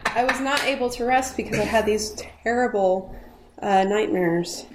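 A woman's voice: mid-range voice, normal speed. A woman playing a weary character in a tabletop game, speaking hesitantly and drawing her words out. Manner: hesitant, drawn out. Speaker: player. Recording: echoey room with background noise.

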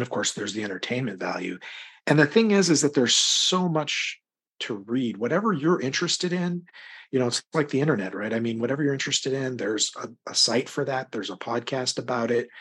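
A man's voice: mid-range voice, a bit bright, husky. A middle-aged American man talking casually as an interview guest, thoughtful and rambling, often hesitating as he works out his answer. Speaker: interview guest, crime fiction author.

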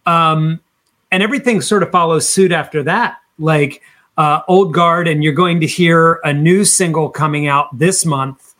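A man in his fifties speaks in a fairly high voice. He talks casually as a musician being interviewed, sounding enthusiastic and putting emphasis on his points. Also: a little slow, a bit bright. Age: 50s. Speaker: band singer being interviewed.

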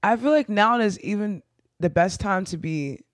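A woman's voice: mid-range voice, expressive, no room sound, clean studio sound. Earnest female podcast guest. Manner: earnest, halting with many restarts. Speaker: guest performer. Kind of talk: casual interview chat.

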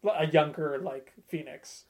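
A man talking casually as a panelist on a gaming podcast, thoughtful and halting as he phrases opinions. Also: fairly high pitch, darkish tone. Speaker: podcast panelist discussing video games. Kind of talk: casual chat.

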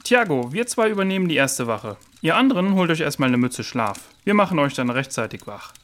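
Earnest, decisive young adventurer. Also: male voice, fairly high pitch, very animated, a little fast, a bit bright. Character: earnest, responsible, trusting male member of an adventure crew. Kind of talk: children's audio drama acting.

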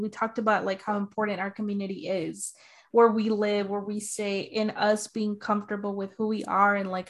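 A woman from Arizona hosting a casual interview, talking with eager, gushing enthusiasm. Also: medium pitch. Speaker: podcast host.

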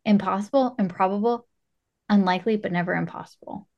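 A woman's voice: mid-range voice, a little fast, vocal fry, highs cut off. A woman co-hosting a podcast, chatting casually about film with wry, joking exaggeration. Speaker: podcast co-host.

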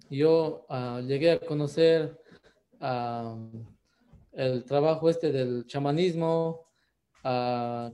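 A man from the highlands, answering interview questions as a shaman guest in an unhurried, hesitant way full of drawn-out fillers. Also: dark tone. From Ecuador, Andean highlands.

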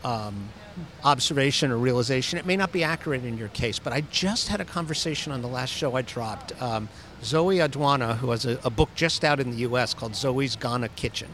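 A man's voice: medium pitch, brisk, fairly bright tone, a little hiss or hum. A man hosting a casual interview, chatty and prone to storytelling with fillers. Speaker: podcast host.

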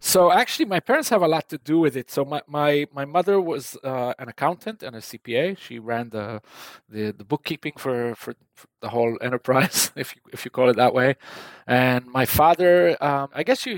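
A man's voice: medium pitch, no echo. A man in his forties from Israel, chatting as an interview guest, often laughing and repeating words as he restarts his sentences. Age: 40s. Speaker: guest, tech company founder. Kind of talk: casual interview conversation.

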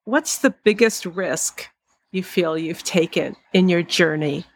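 A woman's voice: fairly low pitch, takes it slowly, no room sound. A woman hosting an interview, asking short probing questions. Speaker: podcast host.

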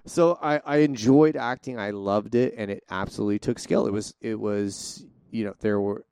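A man's voice: on the low side, moderate pace, creaky, a little mellow, clear, resonant tone, no echo, studio quality. A man co-hosting a podcast in casual chat, talkative and theatrical, often restarting his sentences. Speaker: podcast co-host.

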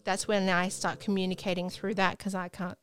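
A woman's voice: medium pitch, level tone, brisk, fairly bright tone, smooth. A woman guest on a casual podcast, talking about her life as a parent. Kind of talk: casual chat.

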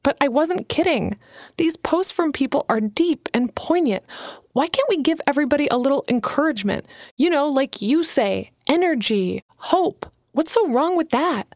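Woman voicing young woman writer, earnest, pleading, high-pitched, dry storybook read. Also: expressive, darkish tone, no echo. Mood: frustrated. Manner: eager, pleading, quick to bristle. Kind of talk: audiobook character voice.

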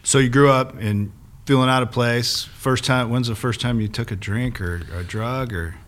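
A man's voice: medium pitch, moderate pace, fairly bright tone. A man hosting a podcast interview, laid-back and encouraging with short replies. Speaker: podcast host. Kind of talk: casual interview.

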